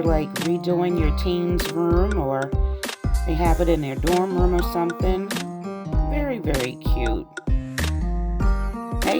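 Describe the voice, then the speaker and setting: A woman with a raspy voice, chatting casually to her viewers in a shopping vlog from inside a store. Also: lowish voice. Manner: friendly chatty address to viewers. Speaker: vlogger. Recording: loud background music.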